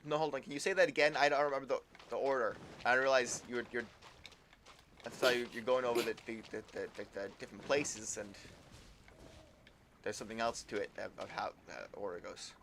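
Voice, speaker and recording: A man's voice: medium pitch, fairly even intonation, brisk, a bit bright, slight background noise. A man giving casual live commentary as a game streamer, often stammering and repeating words as he thinks aloud.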